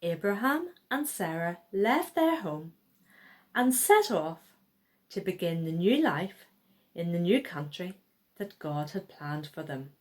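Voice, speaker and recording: A woman's voice: fairly low pitch, animated, takes it slowly, a little mellow. A woman reading a children's Bible story aloud, expressively and with acted-out dialogue.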